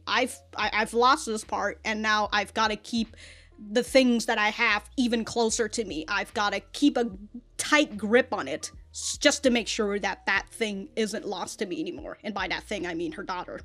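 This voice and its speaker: A woman giving a casual, animated episode review as a reaction host, with slangy, sweary talk. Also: a little high.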